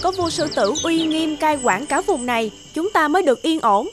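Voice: high voice, lively intonation, normal speed. An actor voicing a small, meek, obedient forest animal in a children's cartoon, over music.

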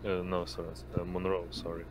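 A man narrating as game master of an online roleplaying game, with hesitant, halting delivery over voice chat. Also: low voice, completely flat delivery. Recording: background noise on voice chat.

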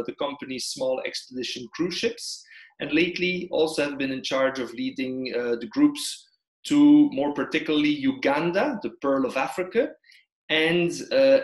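A man from Belgium who grew up in the Congo, a tour leader giving a slideshow presentation of a trip, with frequent hesitant fillers. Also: medium pitch. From Belgium, raised in Congo. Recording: echoey room on webcam.

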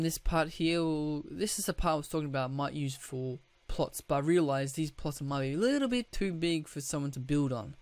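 A man with a croaky, just-woken-up voice, giving casual gameplay commentary, rambling with restarts and throat clearing. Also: fairly high pitch, no echo. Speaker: gaming YouTuber.

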